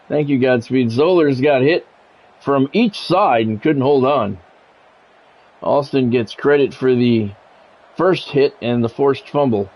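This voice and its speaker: A man doing sports play-by-play for a video game stream, phrasing it like a radio announcer with casual asides. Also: mid-range voice. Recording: dull, lo-fi audio with music behind.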